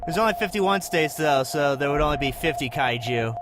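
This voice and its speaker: A man's voice: a little high, animated, brisk, over loud music, natural voice. A man playing a jaded, skeptical soldier on monster watch, dry and dismissive in comic dialogue. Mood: wry.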